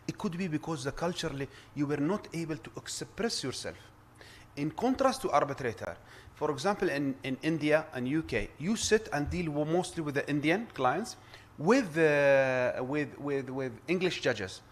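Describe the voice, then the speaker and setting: A man with an Arabic accent addresses a conference round table. His voice sits a little high for a man. He speaks earnestly, often restarting his sentences and repeating words. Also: lively intonation, normal speed. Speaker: conference speaker, arbitration lawyer.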